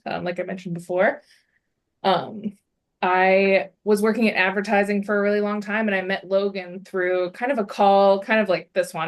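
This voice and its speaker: A woman introducing herself on a video call, relaxed and hesitant with many fillers. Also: mid-range voice. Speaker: illustrator and designer, co-host. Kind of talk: casual self-introduction.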